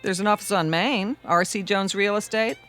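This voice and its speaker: An actress playing a friendly, teasing roadside diner waitress in a radio drama, with folksy, breezy, flirty small talk. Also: female voice, mid-range voice, moderate pace, a bit bright, faint music behind, lightly acted. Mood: chatty, curious.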